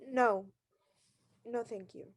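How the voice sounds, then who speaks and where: A woman's voice: on the high side, fairly even intonation, takes it slowly. An actress playing a neurotic, bedridden woman who is agitated, pleading and self-pitying, in a 1940s radio thriller style. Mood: anxious, fretful. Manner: agitated, pleading, breaking into outbursts.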